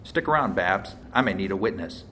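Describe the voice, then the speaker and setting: A man's voice: low-pitched, lively intonation, brisk, pushed and bright, a little hiss or hum. Man playing a wry, defiant murder suspect. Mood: guarded. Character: wry, wounded male amateur-sleuth suspect, defiant.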